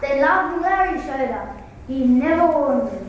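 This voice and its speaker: Schoolgirl narrating a story, high voice, very roomy echo. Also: animated, a little slow. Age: child. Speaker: student reader. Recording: very echoey room, distant mic, faint noise.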